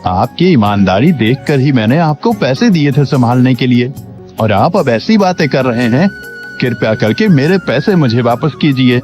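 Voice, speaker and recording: A man's voice: medium pitch, animated, moderate pace, a little mellow, natural voice. A man playing an honest, humble village servant in a cartoon moral story, polite, earnest and deferential. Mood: earnest, pleading. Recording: dull lo-fi audio with background music.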